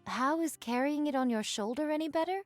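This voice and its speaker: A woman's voice: high-pitched, a little fast, soft background music, natural voice. A woman voicing a haughty, genteel lady with lofty, archaic diction in an anime-style game. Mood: lofty, exasperated.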